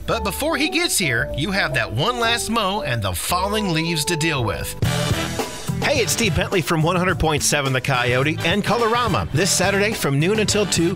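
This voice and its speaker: Animated American radio announcer man, high-pitched. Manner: polished, punchy announcer delivery. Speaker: radio announcer and commercial voice-over artist. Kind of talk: upbeat scripted radio announcing and advertising reads. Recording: music bed behind the voice. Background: music.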